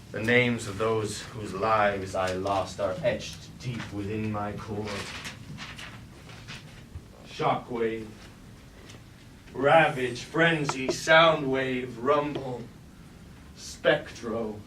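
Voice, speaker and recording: A brooding, commanding robot warlord played by a man in a stage tragedy, speaking in formal, archaic declamation. The voice is low and sounds roomy, with clear noise behind it. He is grandiose and resolute throughout. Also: very slow, long pauses. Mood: grave, brooding, then proud, defiant. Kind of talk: staged reading of mock Greek tragedy. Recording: roomy, distant mic, clear background noise.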